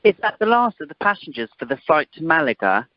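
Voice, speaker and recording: Woman playing a low-pitched, cheeky airport lad. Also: big pitch swings, fast, dry recording. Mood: breezy. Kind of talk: amateur radio comedy acting. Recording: dull, dry telephone conference line.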